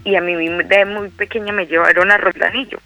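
A woman's voice: medium pitch, steady tone, a little fast, sharp tone, faint music behind, poor audio. A middle-aged Colombian woman speaking by phone, hesitant, with many fillers and repeated words. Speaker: guest caller. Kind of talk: phone interview.

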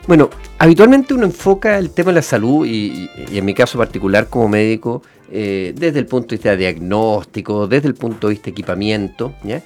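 Low-voiced Chilean man hosting an interview. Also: expressive, darkish tone, faint music behind. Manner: halting, repeats words, checks in with short tags. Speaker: interview show host, a doctor. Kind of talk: conversational interview.

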